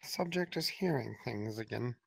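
A man playing a calm, sinister male therapist who urges his patient toward cannibalism. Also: medium pitch, moderate pace, vocal fry, husky, dry recording, heavily acted, low-quality recording. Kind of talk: comedy skit acting.

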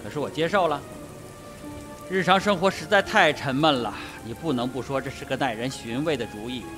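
An actor in a radio drama playing a cool, cavalier colonel and adventurer, assured and sardonic. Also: male voice, mid-range voice, fairly quick, music in the background, natural voice. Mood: cool, wry.

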